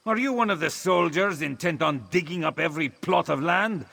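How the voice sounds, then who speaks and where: A man's voice: fairly high pitch, normal speed, vocal fry, bright, forceful tone, full character voice. An actor voicing a suspicious, scornful man in a fantasy video game, accusing and exasperated in manner. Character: suspicious, scornful male local of a fantasy land. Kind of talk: video game character acting.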